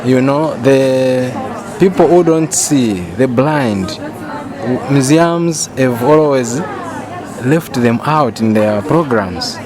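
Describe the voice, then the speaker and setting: A Zambian man gives a testimonial in a mid-pitched voice. He speaks with emphatic repetition, and there is a clear murmur of people around him outdoors. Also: lively intonation, slow pace, crowd noise. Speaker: museum professional giving a testimonial.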